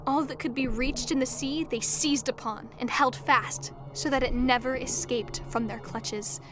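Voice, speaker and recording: High-pitched woman narrating over music. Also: fairly even intonation, a little slow. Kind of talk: scripted fairy-tale narration.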